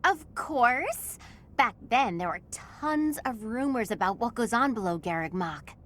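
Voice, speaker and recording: Perky, high-pitched academy girl. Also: lively intonation, unhurried, a bit bright. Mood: curious, chatty. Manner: breezy, girlish, informal. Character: perky, casual, chatty young female academy student. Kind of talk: video game voice acting.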